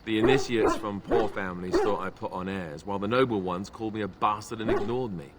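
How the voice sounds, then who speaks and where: An actor playing a wry, self-deprecating young warrior in a fantasy video game, with a joking, sarcastic manner. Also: male voice, fairly low pitch, a little fast, noisy background, natural voice. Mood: wry confiding.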